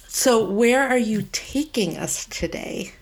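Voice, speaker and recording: A woman's voice: fairly high pitch, expressive, slow, vocal fry, husky. A woman hosting a travel podcast from New England, talking in an upbeat, chatty way and laughing often. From New England, United States. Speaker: podcast host and travel planner. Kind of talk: casual travel podcast chat.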